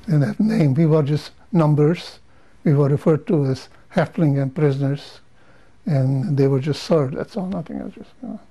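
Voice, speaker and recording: An older man from Romania, whose first languages are Hungarian, Romanian, Yiddish and Hebrew, giving a measured, halting interview testimony with frequent restarts. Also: on the high side, mellow, rough recording.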